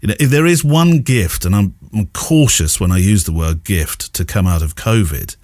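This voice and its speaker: A man's voice: lowish voice, expressive, moderate pace, a little mellow, no room sound, clean studio sound. A man hosting a podcast in a reflective, chatty way, breaking into his own sentences as he talks or reads aloud. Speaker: podcast host. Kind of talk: conversational hosting and reading listener letters aloud.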